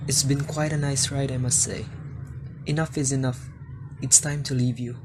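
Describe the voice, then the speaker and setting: A young man playing a cold, careless foreign boyfriend who dumps his girlfriend, speaking low and flat, in a student radio drama. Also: measured pace, background noise, natural voice. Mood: dismissive.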